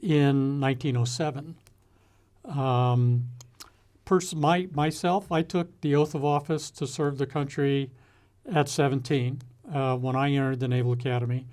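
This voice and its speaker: An older American man giving a reflective interview, speaking in a measured, halting way with deliberate pauses. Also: medium pitch, steady tone, darkish tone. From United States. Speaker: interview guest, retired executive and former naval officer.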